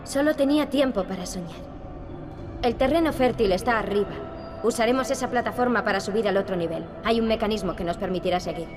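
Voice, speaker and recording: An actress playing a serious, determined young princess in a video game. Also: female voice, medium pitch, quick pace, music in the background, close to an everyday voice. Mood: calm. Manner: earnest, resolute. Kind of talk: video game dubbing in Spanish.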